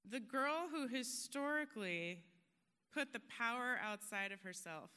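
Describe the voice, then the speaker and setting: A woman's voice: on the high side, measured pace, sharp tone, smooth. A woman giving an earnest, emphatic motivational talk on a conference stage, now and then laughing at herself. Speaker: conference speaker. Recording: long hall reverb.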